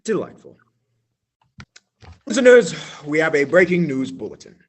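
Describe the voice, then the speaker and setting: A man's voice: a little high, sing-song, heavy background noise. A young man playing a flustered, pompous radio announcer. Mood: announcing. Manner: dramatic pauses, theatrical announcing. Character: flustered male radio announcer, grandly solemn. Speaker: student actor. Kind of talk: stage radio-play acting.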